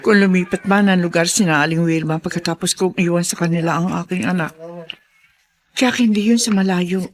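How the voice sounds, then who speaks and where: An actress playing an anxious, determined mother in a radio drama, speaking earnestly and deliberately. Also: lowish voice, slight background noise, natural voice. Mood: determined, pensive. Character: anxious, determined mother searching for her lost child. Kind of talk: Tagalog radio drama acting.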